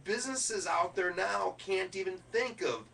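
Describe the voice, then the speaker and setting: A man delivering a motivational business keynote speech in an emphatic, deliberate way, punching key words as a speaker. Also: high-pitched, creaky, pushed and bright. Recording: distant microphone with faint noise and hum.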